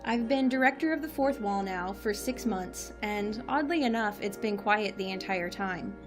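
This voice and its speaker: A woman's voice: medium pitch, lively intonation, normal speed, over music, lightly acted. An actress playing a dry, exasperated woman director in a comic audio drama, with a wry, officious manner. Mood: uneasy, reflective.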